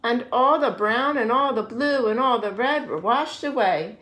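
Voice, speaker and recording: Slow, higher-voiced woman reading a storybook aloud. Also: echoey room, rough recording. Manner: expressive, deliberate storytelling with questions to a child. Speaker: story-time reader, adult with a young child. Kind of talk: children's picture-book read-aloud.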